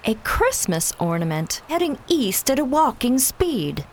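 A woman's voice: fairly high pitch, very animated, a little slow, slight background noise. A woman playing a wry, skeptical heroine in a space opera radio drama.

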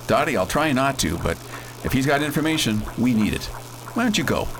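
A man playing a bold scientist who leads a space crew in a radio drama, brisk, folksy and commanding. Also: mid-range voice, very animated, background noise. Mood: firm, matter-of-fact. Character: bold, decisive space-hero scientist and leader.

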